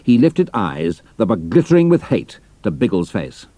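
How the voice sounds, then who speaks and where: Low, animated British male storyteller. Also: normal speed, dry recording. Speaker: narrator. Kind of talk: scripted dramatic storytelling narration.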